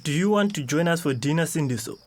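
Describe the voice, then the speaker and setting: A fair-minded male teacher played by an actor in a radio drama. He reasons, his voice a little high, over faint music. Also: lively intonation, fast, lightly acted. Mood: reasoning. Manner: reasoning, conciliatory. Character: fair-minded young teacher defending a neighbour.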